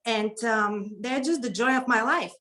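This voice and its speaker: A middle-aged woman with a Bulgarian accent introduces herself as a guest speaker on a video call, in a slightly halting way. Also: on the high side, sharp tone. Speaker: guest keynote speaker and etiquette consultant. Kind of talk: informal self-introduction speech.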